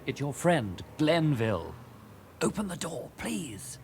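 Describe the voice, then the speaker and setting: An actor playing a furtive gentleman crook in a radio drama, creaky-voiced, polite but urgently insistent. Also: a little high, big pitch swings, slow pace, a little hiss or hum, full character voice. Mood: urgent, wary.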